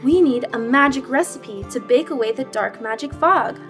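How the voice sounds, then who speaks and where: A woman's voice: high voice, animated, moderate pace. A middle-aged woman playing an earnest cook in a children's readers' theater piece, reading expressively from a script. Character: earnest woman cook facing a magical kitchen threat. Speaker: teacher acting with children. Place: indoor stage set with stone-wall backdrop. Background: music.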